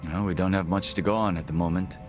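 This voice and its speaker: An actor playing a composed, formal gangster second-in-command in a video game cutscene, measured and reserved. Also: male voice, deep voice, steady tone, over music, lightly acted.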